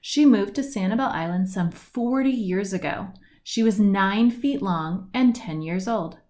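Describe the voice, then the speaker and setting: A woman reading a children's picture book aloud, with deliberate phrasing, stretched words and lilting lists. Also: mid-range voice, a little mellow. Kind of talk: read-aloud storybook narration. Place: indoors at home, at a wooden table by a window.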